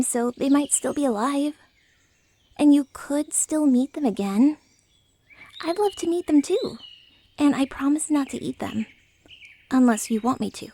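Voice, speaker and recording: A woman voicing a friendly, naive, excitable dragon in humanoid form, chatty and playful in a soft ASMR roleplay style. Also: on the high side. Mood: sympathetic, apologetic, then eager, friendly. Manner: chatty, playful, casually blunt about eating people.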